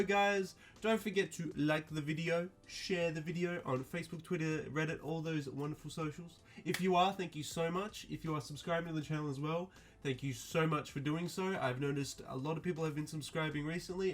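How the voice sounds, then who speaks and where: Flat-voiced man with many ums. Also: fairly high pitch. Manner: flat, mildly disappointed, many fillers. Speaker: streetwear vlogger. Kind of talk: casual unscripted review.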